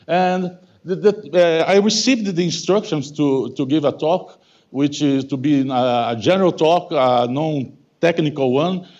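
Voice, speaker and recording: A man in his fifties from Brazil with a Brazilian Portuguese accent, Portuguese as his first language, giving a halting academic talk full of self-corrections and "okay?". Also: on the high side, a little mellow, muffled recording. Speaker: guest lecturer. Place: lecture hall.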